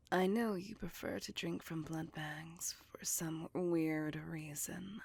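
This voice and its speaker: A woman plays a seductive female vampire in a whispered ASMR roleplay, low and husky with a creaky edge. She takes her time, intimate and doting, yet coaxing her lover with possessive control. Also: a little mellow, heavily acted. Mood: doting, teasing. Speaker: voice actor.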